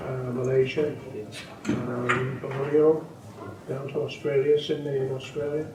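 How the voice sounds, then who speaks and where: A man's voice: medium pitch, flat delivery. Elderly man, echoey distant recording. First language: Welsh. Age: 80s. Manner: unhurried, rambling with many ums and restarts. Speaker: snooker coach and referee. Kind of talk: interview, reminiscing. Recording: echoey room, distant mic, background noise.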